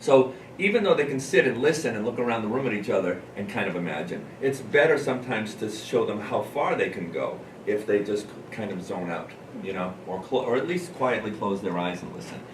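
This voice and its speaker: 방 울림 속 낮은 목소리의 남자 교사. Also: male voice, lowish voice, lively intonation, a little fast, few pauses. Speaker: drama teacher. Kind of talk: informal talk to an audience about teaching. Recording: roomy, noisy, distant microphone.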